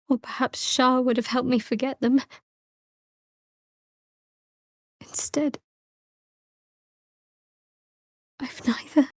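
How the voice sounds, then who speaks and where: Casting: young female dark cleric for a fantasy game. Fairly high voice, dry studio sound, guarded, measured, sardonic. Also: no echo. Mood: grieving. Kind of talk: fantasy video game voice acting.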